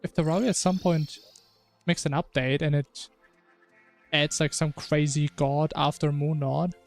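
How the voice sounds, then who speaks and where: A man's voice: fairly high pitch, fairly even intonation, unhurried, vocal fry, soft background music. A man streaming a video game, chatting casually and musing in a rambling way. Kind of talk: casual chat while gaming.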